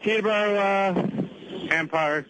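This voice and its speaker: A man's voice: sits high, slow. A man with an American accent giving air traffic control instructions over aviation radio. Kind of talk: rapid radio instructions to aircraft.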